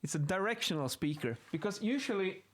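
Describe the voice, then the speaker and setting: A man with a slightly high voice teaches in an informal, improvised way. He thinks aloud as he explains, often halting and rephrasing mid-sentence like an instructor working something out as he goes. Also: animated. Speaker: acoustics instructor explaining measurement methods. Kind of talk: informal explanatory lesson, improvised while sketching.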